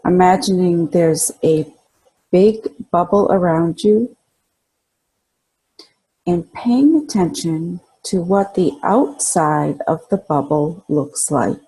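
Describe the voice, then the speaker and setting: A woman leading a guided imagery meditation in a calm, soothing, instructional way, leaving pauses between phrases. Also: lowish voice, slightly rough, poor audio.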